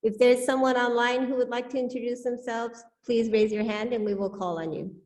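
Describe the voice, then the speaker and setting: A woman's voice: a little high, steady tone, a little fast, darkish tone. A woman leading a service, giving a scripted welcome to church guests over a microphone. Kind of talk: scripted welcome announcement to a congregation. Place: church, speaking to congregation.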